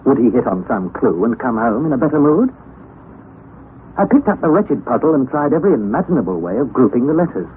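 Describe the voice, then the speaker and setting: A young actor playing a wry, anxious nephew who narrates the story, in a 1960s radio drama on a tinny, lo-fi broadcast recording. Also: male voice, medium pitch, a little hiss or hum, close to an everyday voice. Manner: earnest storytelling, rising to excited outbursts.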